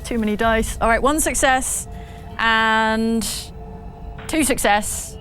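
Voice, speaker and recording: High-voiced woman playing a tabletop game. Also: slow, fairly bright tone, poor audio. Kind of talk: casual game-table chat. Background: music.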